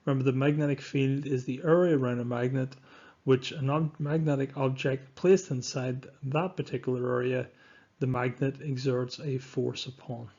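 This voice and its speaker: A man teaches science over slides in a fairly low voice. He is instructive and deliberate, leaning on key words for emphasis. The recording is a little dull and lo-fi. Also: steady tone, warm tone. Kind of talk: scripted lesson narration over slides.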